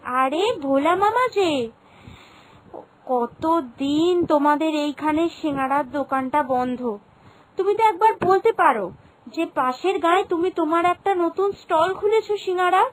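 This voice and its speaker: A woman's voice: very high-pitched, animated, unhurried, low-quality recording. A woman voicing an eager young village girl in a cartoon, gushing and familiar as she chats. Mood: chatty, excited. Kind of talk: Bengali cartoon voice acting.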